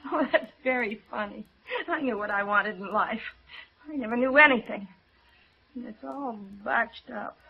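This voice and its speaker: An actress playing a distraught, despairing young woman on the edge, with fragile, bitter delivery in 1950s radio drama style. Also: a little high. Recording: dull lo-fi old radio recording.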